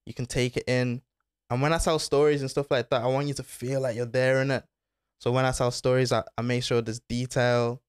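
A man's voice: mid-range voice, little pitch movement, brisk, clean tone, no echo. A young man, talking casually as a podcast guest, laid-back and full of slang. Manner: laid-back slang-filled talk, says bro and innit. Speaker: guest footballer. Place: small studio with foam panels. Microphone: desk mic on arm.

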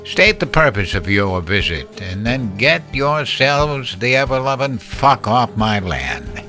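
A man voicing a hardened, weary old farmer with a gruff, curt, folksy manner in an audio horror story. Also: mid-range voice, very animated, slow pace, bright, forceful tone, soft background music, exaggerated voice. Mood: curt, threatening.

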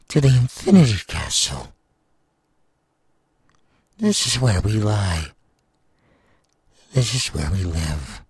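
A sadistic male demon villain for an audio roleplay. The voice is low and very raspy, and it takes its time with each taunt. He is menacing and hungry for human flesh. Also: no echo, heavily acted. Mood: gloating menace. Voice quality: gravelly, raspy. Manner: slow, taunting, drawn-out delivery with wide swings. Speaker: voice actor.

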